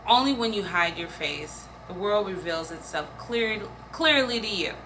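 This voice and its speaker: Female poetry reader, very slow and measured, mid-range pitch, with audible ambient noise. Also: expressive, pushed and bright, rough recording. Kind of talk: poetry reading aloud. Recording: clear background noise, room ambience.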